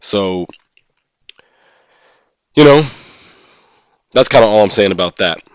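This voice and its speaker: A man's voice: lowish voice, expressive, takes it slowly, clean tone, no room sound, clean studio sound. A man who streams games, talking casually and giving his opinions as he thinks aloud. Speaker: live streamer and gaming content creator. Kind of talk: casual livestream discussion of a mobile game.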